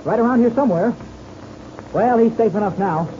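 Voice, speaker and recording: Man acting an eager boyish pilot, mellow. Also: high voice, fairly quick, close to an everyday voice. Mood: excited. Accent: American. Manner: earnest, gee-whiz enthusiasm. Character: eager, boyish young American male pilot. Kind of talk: old-time radio serial acting. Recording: noisy, dull old radio recording.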